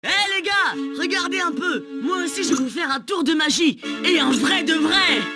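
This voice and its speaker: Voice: extremely high pitch, lively intonation, moderate pace. An actor voicing a cocky, mischievous schoolchild showing off as a magician in a children's cartoon. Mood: boastful, teasing. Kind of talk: animated children's cartoon acting. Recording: music behind the voice.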